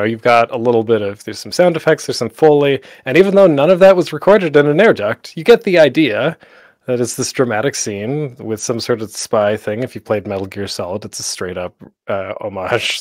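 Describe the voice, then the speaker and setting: A man's voice: mid-range voice, moderate pace, dry recording, studio quality. A man giving an informal, conversational instructional talk as a presenter, with a self-deprecating manner.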